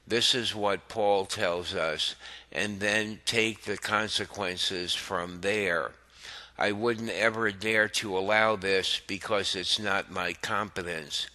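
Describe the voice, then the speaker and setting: A man with a low, bright and forceful voice reads a written article aloud. His delivery is deliberate and measured, taking its time through each sentence as a commentary host would. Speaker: host of a religious commentary channel.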